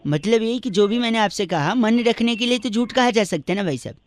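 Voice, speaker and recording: A man's voice: high-pitched, brisk, no echo. A man making comic prank calls in a mock-polite, teasing and theatrical manner. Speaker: prank caller. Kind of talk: comic prank phone call.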